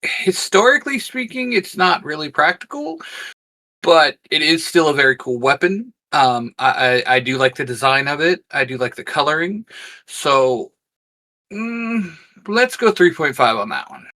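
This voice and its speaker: A man with a fairly high, very bright voice. He talks casually as a co-host of a fan review show, working through his opinions in a measured manner. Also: expressive. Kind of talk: casual geeky review chat.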